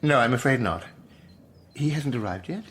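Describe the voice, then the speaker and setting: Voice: mid-range voice, expressive, moderate pace, a bit bright, husky. An actor playing a polite, effusively apologetic next-door neighbour in a radio drama. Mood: apologetic, curious.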